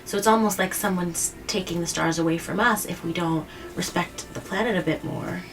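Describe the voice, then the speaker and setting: A woman hosting a children's read-aloud, explaining things simply and gently to a child and often checking in with questions. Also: fairly low pitch, moderate pace, music in the background. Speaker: host of a children's story read-aloud show. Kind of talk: picture-book reading and simple explanations to a child. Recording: distant mic, faint background noise.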